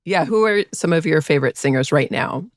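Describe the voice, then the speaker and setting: Gravelly-voiced curious interviewer. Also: mid-range voice, animated, fairly quick, no room sound, studio quality. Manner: curious, with short affirming replies. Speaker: podcast host, interviewer. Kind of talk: interview questions.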